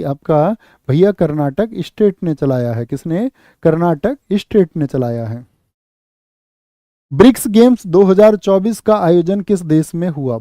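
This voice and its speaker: High-voiced man drilling quiz answers. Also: warm tone, no room sound, studio quality. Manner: asks a question then answers it himself, repeating key facts; calls listeners "saathi" and "bhaiya". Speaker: exam coaching teacher. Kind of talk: quiz-style current affairs lesson.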